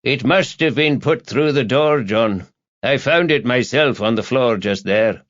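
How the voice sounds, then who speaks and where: A man's voice: mid-range voice, animated, measured pace, a bit bright, highs cut off, pitched above the performer's own voice. A man voicing an old, long-serving male police desk sergeant in an audiobook, plain and helpful in manner. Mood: puzzled.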